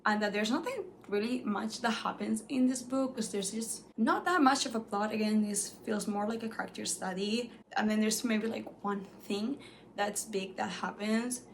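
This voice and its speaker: Young woman, spanish is her first language, gravelly fry in her voice, chatty book talk. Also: medium pitch, moderate pace, a little hiss or hum. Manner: chatty and animated, many "like"s, light laughs. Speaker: book reviewer. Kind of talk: casual chat to camera.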